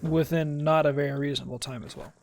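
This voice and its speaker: A man's voice: a little high, fairly even intonation, fast talker, vocal fry, a little hiss or hum. A man giving casual, playful, rambling gameplay commentary as a streamer. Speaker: gaming streamer. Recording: music behind the voice. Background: music.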